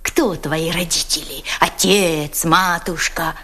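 A woman's voice: fairly low pitch, steady tone, slow pace, pushed and bright, a little hiss or hum. An actress playing a sly, wheedling German woman interpreter who coaxes in sweet tones and sinks into whispers. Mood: soothing. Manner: coaxing, sugary, slipping into whispers.

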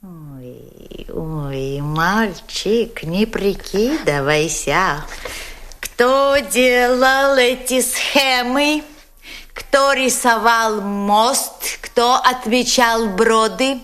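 An actress voicing a cunning German woman interrogator who coaxes an orphan boy with wheedling sweetness and then presses him with sharp questions. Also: on the high side, very slow. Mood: interrogating. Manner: wheedling, sugary coaxing that turns to sharp questioning. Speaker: actress in an audio drama. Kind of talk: dramatic radio play acting.

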